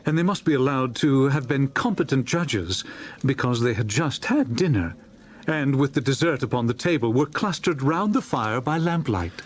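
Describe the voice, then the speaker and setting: A man playing a genial storyteller of a Victorian Christmas tale, narrating with a jovial, relishing, expressive manner. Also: medium pitch, moderate pace, slight background noise, close to an everyday voice. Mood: playful relish. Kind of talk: dramatized audiobook narration.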